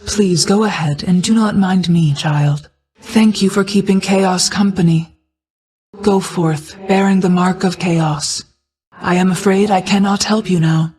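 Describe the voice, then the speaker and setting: A woman playing a calm, motherly goddess of night in a video game, speaking in a measured, formal and stately manner. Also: medium pitch, close to an everyday voice. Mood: stern, reserved. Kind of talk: scripted video game character lines.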